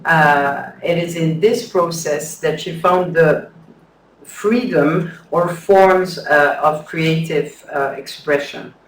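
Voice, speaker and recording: Careful academic man reading a paper at a slow pace, faint room noise behind. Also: a little high. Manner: measured, careful, with some hesitant restarts. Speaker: conference speaker. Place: lecture hall. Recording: echoey hall, distant mic.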